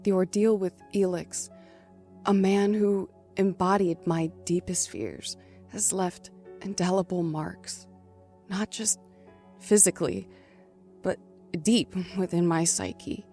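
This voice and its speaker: A woman's voice: on the low side, fairly even intonation, takes it slowly, dark tone. An actress playing a haunted female survivor of a killer's attack, narrating an audio drama with solemn intensity. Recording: music behind.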